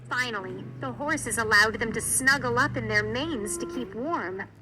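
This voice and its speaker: A woman reading a children's read-along story in an expressive narrating style. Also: on the high side, normal speed, noisy background. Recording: music behind the voice.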